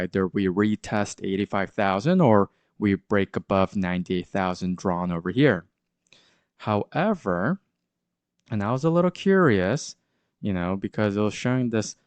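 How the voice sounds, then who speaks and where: A man's voice: on the low side, darkish tone, clear, resonant tone, dry recording. A man with a sore throat and a congested voice hosts his crypto channel, talking through market charts with lots of hesitant fillers and restarts. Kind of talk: casual market commentary.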